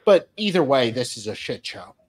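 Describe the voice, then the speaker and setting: A woman's voice: extremely low pitch, big pitch swings, normal speed, darkish tone. A woman co-host in casual chat, blunt and given to swearing.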